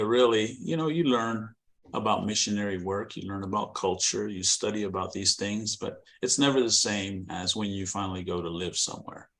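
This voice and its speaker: A middle-aged man with a Californian American accent, a missionary guest telling his story in an interview, reflective and hesitant with many pauses and restarts. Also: low voice, vocal fry, a bit bright. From born in Guatemala, raised in California.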